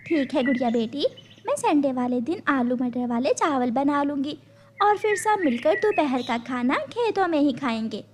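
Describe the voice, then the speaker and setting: A woman voicing a caring, gently chiding mother bird in a cartoon, affectionate and reassuring. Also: high-pitched, lively intonation, moderate pace, slight background noise. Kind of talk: cartoon voice acting.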